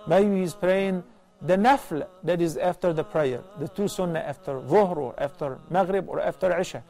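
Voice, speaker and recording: A man's voice: high voice, moderate pace, dark tone. A man answering questions on religious rulings as a scholar.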